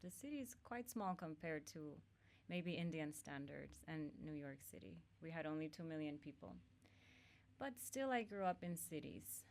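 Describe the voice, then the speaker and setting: A woman from Honduras, a guest yoga teacher, talking in a calm and measured way about spiritual practice. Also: low voice, a little hiss or hum.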